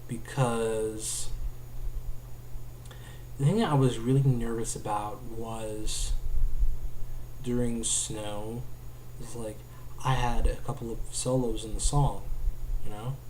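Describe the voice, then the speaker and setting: Young male vlogger, mellow and even-toned, rambling webcam talk from a small home room. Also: medium pitch, slow pace, pauses often, a little hiss or hum, poor audio. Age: young adult. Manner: rambling, heavy use of "like", restarts often. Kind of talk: casual talk to camera. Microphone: webcam at desk distance.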